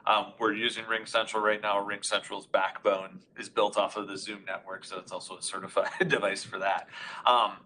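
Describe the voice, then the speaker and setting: Bright, forceful low-voiced man explaining gear. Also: flat delivery, normal speed, a little hiss or hum, poor audio. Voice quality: loud, carrying voice. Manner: informal, explanatory. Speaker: webinar presenter demonstrating conferencing equipment. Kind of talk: casual live product demonstration. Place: meeting room with reflective walls. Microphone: conference room array microphone.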